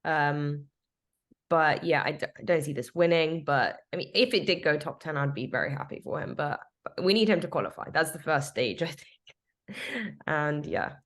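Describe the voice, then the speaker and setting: A woman chatting casually on a panel, with many fillers and quick laughs. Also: low-pitched, animated.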